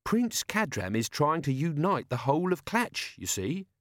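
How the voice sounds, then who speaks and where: A man's voice: fairly high pitch, sing-song, moderate pace, a bit bright, no room sound, clean studio sound, voice pushed up. A man voicing an earnest, polite young male officer who speaks with eager deference. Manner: eager, deferential, keeps saying sir.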